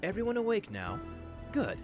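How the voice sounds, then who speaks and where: A man's voice: sits high, wildly swooping pitch, normal speed, music in the background. A man playing a cheerful professor who teases his class, in a visual novel game. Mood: upbeat teasing.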